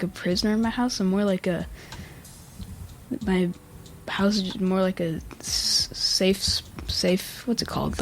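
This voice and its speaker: A teenage boy co-hosting a casual home podcast, his voice scratchy from just waking up, talking haltingly with many fillers and throat-clearing. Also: high voice. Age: teens. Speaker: podcast co-host. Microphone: home podcast microphone with mixer.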